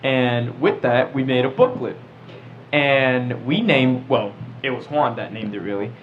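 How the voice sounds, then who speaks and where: A man's voice: mid-range voice, fairly even intonation, a little slow, lo-fi sound, faint music behind. A teenage boy from New York chatting casually and telling stories as a podcast co-host. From New York, United States. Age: teens. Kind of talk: casual chat, storytelling.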